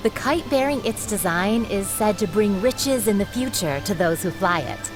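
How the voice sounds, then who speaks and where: A woman's voice: mid-range voice, animated, normal speed, over music, close to an everyday voice. Female market vendor acted by a woman, video game: mid-range, courteous, helpful sales patter. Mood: welcoming. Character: polite, helpful female kite stall vendor.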